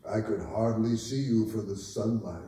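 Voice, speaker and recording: A man's voice: lowish voice, fairly even intonation, normal speed, dark tone, lightly acted. A man acting a proud, bitter, aging king in a staged dramatic reading, with a theatrical, impassioned delivery. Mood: rueful, wistful. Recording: roomy, echoing hall, distant microphone.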